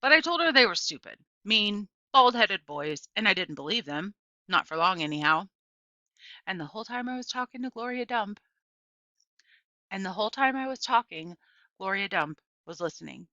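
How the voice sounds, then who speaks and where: Animated woman reading a children's story aloud. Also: medium pitch, moderate pace, dry recording, muffled recording. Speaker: reader of a children's novel. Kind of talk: expressive read-aloud storytelling. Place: small indoor room with bookshelves.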